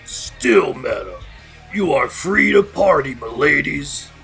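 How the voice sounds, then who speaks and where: Man playing a gravelly drunk party dude. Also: a little high, big pitch swings, slow pace, fairly bright tone, faint music behind, heavily acted. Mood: drunk, exuberant. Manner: slurred party-bro talk mixed with mock-archaic flourishes. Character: drunk, jovial party-host dude with mock-grand speech. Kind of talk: audio drama acting.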